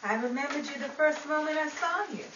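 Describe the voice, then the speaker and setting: A wistful, theatrical 1950s screen heroine, performed by a woman in a radio play. The voice is high and tender, slightly muffled in an echoey room. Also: brisk, fairly bright tone. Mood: wistful, dramatic. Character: dreamy, tender, melodramatic 1950s film-struck woman. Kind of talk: 1950s radio drama acting. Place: small indoor room staged as radio studio. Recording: muffled echoey noisy room, distant mic.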